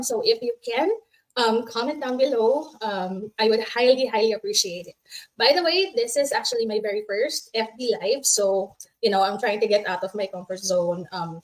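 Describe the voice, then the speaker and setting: Filipino woman in her thirties, friendly live-chat host, laughs easily, medium pitch. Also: rough recording. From Philippines. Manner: friendly, chatty, laughs often, switches between English and Tagalog. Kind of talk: casual livestream chat.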